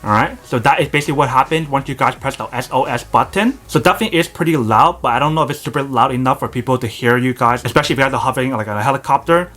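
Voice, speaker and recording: A man's voice: mid-range voice, a bit bright. A man narrating a casual product demo, talking to viewers and repeating the same filler phrases a lot. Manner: rapid, repetitive filler phrasing, addresses viewers as "you guys". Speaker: product reviewer.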